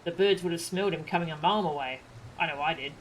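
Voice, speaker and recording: A woman voicing a gruff male hunter in a fantasy game, talking in a blunt, colloquial way. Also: deep voice, fast, slight background noise, lightly acted, poor audio. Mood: blunt, folksy.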